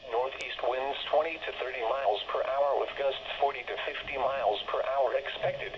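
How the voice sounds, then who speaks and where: A synthetic-sounding man's voice reads a scripted weather bulletin over the radio in a formal, flatly informative, segmented way. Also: medium pitch, moderate pace. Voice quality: synthetic text-to-speech voice. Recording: muffled, noisy weather radio reception.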